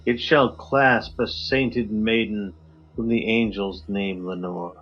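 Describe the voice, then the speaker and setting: A man guesting on a podcast, reading a poem in a measured, low dramatic delivery over a video call. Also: fairly even intonation, dull audio.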